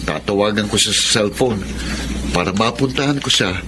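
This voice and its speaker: Low-pitched kind young Filipino man played by an actor. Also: normal speed, close to an everyday voice. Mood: concerned. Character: kind, level-headed young restaurant worker, caring family friend. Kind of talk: Filipino radio drama acting. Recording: clear background noise.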